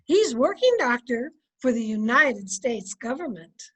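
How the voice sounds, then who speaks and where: A woman acting as a patient, gently chiding wife in an old-time radio comedy, polite and soothing. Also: fairly high pitch, very animated, a little slow, husky, exaggerated voice.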